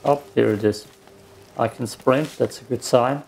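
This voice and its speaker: A man's voice: mid-range voice, a little slow, creaky, darkish tone, poor audio. A man in his thirties with a German accent, casually commenting on a game he is playing, muttering reactions to himself. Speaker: let's play gamer.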